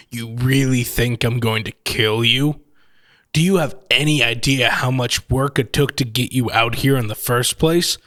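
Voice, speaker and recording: An actor playing a gloating, sardonic killer who collects machines, with mocking, theatrically polite menace in an audio horror story. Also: male voice, mid-range voice, steady tone, unhurried, a bit bright, lightly acted. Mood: amused, sardonic.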